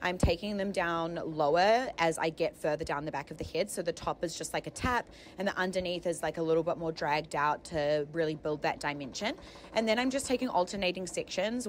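A woman's voice: fairly low pitch, a little fast, a little hiss or hum. A young woman giving a casual voice-over tutorial as a hair colourist, chatty and explanatory in an informal way.

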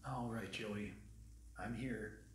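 An actor playing a weary middle-aged former animator in a video game, talking quietly and calmly. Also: male voice, on the low side, drawn-out words. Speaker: game character. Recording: echoey game audio with noisy background.

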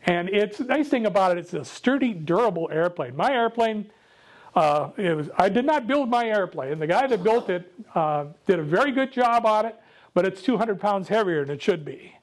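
An older man with a loud voice gives a casual, jokey talk to a club meeting, speaking without a microphone. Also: high voice, expressive, moderate pace. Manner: jokey, self-deprecating, chatty with laughs. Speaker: guest speaker.

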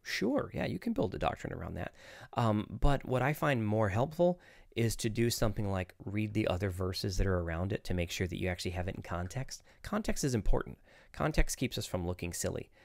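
A man's voice: lowish voice, expressive, fairly quick, creaky, no room sound. A man in his forties giving informal, opinionated Bible teaching in a conversational, explanatory way, close to a studio microphone. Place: small home studio, indoors. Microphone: close studio microphone on desk.

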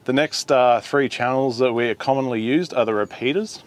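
A man's voice: mid-range voice, moderate pace. An Australian man in his thirties giving an informal, plain-spoken instructional presentation, explaining things step by step. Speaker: volunteer coast guard instructor presenting a video. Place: boat on water, open garage, radio room. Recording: roomy echo, clear ambient noise.

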